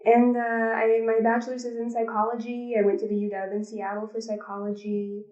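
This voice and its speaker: A woman's voice: a little high, monotone, brisk, a little mellow. Woman in her 30s chatting on a call, lots of ums, dull echoey sound. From Pacific Northwest, US. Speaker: guest, behavior analyst. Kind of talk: casual interview talk. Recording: lo-fi, roomy call audio.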